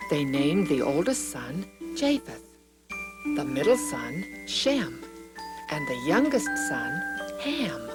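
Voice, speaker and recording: Woman narrator, children's Bible tales: deep for a female voice, very slow, measured, scripted. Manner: deliberate, measured phrasing. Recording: loud music behind the voice.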